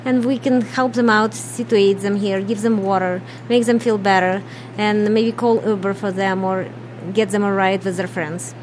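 A woman's voice: mid-range voice, steady tone, normal speed, a little mellow, slight background noise, rough recording. A middle-aged woman from Ukraine with a Russian accent, answering interview questions in a plain, matter-of-fact way. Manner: plain, matter-of-fact, non-native grammar. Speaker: business co-owner.

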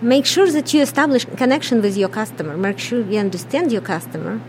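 A woman's voice: on the high side, animated, fairly quick, slight background noise. A middle-aged woman from Ukraine with a Russian accent, answering interview questions in a plain, matter-of-fact way. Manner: plain, matter-of-fact, non-native grammar. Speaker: business co-owner.

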